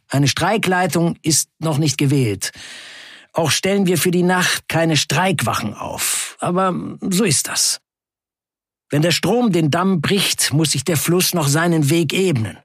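A man playing a defiant factory worker in an audio drama, narrating in the first person with emphatic, measured delivery and a husky voice. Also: fairly high pitch, fairly bright tone, no echo, studio quality, full character voice. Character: defiant male Turkish factory worker recounting a wildcat strike.

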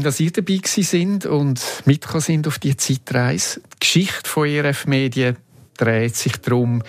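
A man's voice: mid-range voice, gravelly, breathy edge. A man with a Swiss German accent hosting a conversational interview as a podcast host.

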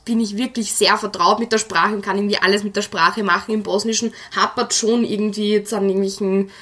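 Young woman, talkative, quick to laugh; interview guest. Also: mid-range voice, fairly even intonation, fairly bright tone. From Austria. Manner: chatty, laughs often. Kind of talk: casual interview talk.

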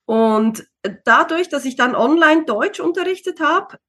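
A woman's voice: high voice, expressive, unhurried, bright, forceful tone. A woman, talking casually as an interview guest, with many fillers and easy laughs. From Switzerland. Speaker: interview guest, single mother.

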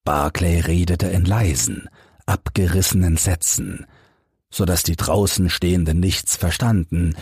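Deep, husky male audiobook narrator. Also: a little mellow, dry recording, clean studio sound. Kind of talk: scripted narration of a detective story.

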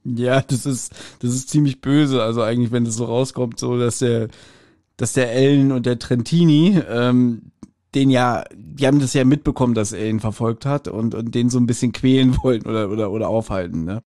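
A man's voice: mid-range voice, moderate pace, mellow. Man chatting casually as podcast co-host. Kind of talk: casual chat recapping an audio drama.

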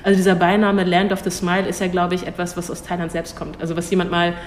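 A young woman answering interview questions in a thoughtful way, hesitating and restarting, quick to laugh. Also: on the low side, monotone, slight background noise. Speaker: cultural attaché at an embassy.

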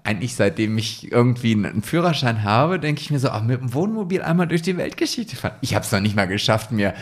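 A man co-hosting a casual business podcast, animated and self-mocking, laughing easily. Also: mid-range voice, quick pace. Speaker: podcast co-host.

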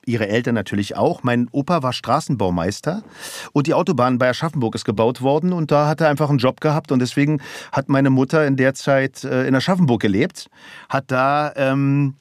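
A man's voice: mid-range voice, brisk, no echo. A man in his fifties from Germany, a guest on a talk show, telling a personal story openly with many fillers. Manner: open, relaxed storytelling with frequent fillers. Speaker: podcast guest, voice actor. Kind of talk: casual interview chat.

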